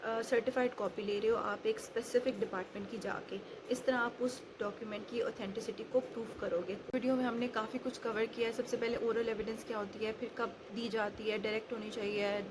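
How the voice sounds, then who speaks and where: Higher-voiced woman lecturing over noise. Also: fairly even intonation, a little fast, few pauses. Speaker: law lecturer. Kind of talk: explanatory lecture mixing Urdu and English. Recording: clearly noisy background.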